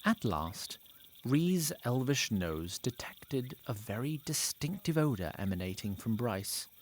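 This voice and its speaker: A man's voice: mid-range voice, big pitch swings, measured pace. A man reading scripted fantasy narration as a theatrical storyteller. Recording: clear background noise.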